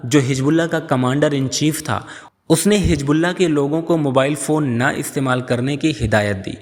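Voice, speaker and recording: Man narrating an explainer with long reverb. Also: fairly high pitch. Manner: explanatory storytelling. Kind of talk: scripted narration, news explainer.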